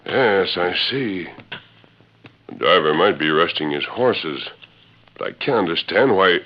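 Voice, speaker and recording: An actor playing a steadfast, decisive masked western hero with formal, authoritative speech in a 1940s radio drama. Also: male voice, sits low, animated, a little slow. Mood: thoughtful. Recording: muffled, noisy 1940s radio recording.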